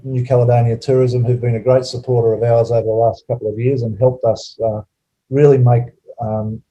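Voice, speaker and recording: Dark-voiced man hosting a webinar. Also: medium pitch, rough recording. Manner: relaxed, hesitant with many fillers. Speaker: webinar host. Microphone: video call.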